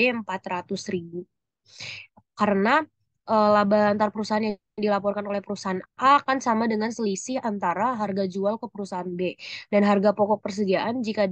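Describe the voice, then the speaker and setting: A young woman presents study material in a very dry recording. Her voice sits at an ordinary pitch for a woman. She reads in a halting way, stumbling and starting words again. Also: no echo. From Indonesia. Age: young adult. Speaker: university accounting student presenting. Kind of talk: scripted group presentation, reading answers aloud.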